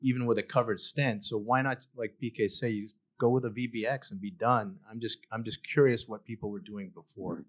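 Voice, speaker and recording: A man in a conference audience asking the panel a question in a loud, casual voice. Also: on the low side, steady tone, fairly quick, few pauses. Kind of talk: live conference panel discussion.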